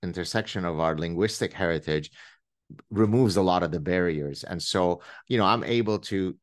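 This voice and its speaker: A middle-aged man from Lebanon, a native Arabic speaker, with a very low, deep voice. He hosts a casual conversation, thinking aloud, restarting phrases and leaning into playful emphasis. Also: moderate pace. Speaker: podcast host.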